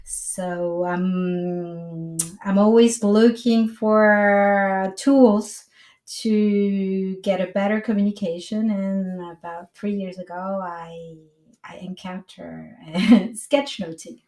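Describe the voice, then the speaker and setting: A woman's voice: mid-range voice, fairly even intonation, slow, darkish tone. A woman in her forties from Colombia, a native Spanish speaker with a Colombian accent, talking as an interview guest and searching for words. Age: 40s. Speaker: guest, psychologist. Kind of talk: casual interview talk. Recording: roomy echo, distant from microphone.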